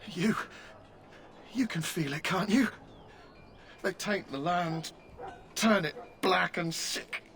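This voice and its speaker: A man's voice: high voice, slow pace, long pauses, fairly bright tone, full character voice. A man voicing a terrified soldier in a video game, rambling frantic warnings that everyone will die. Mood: panicked. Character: terrified male soldier raving about doom.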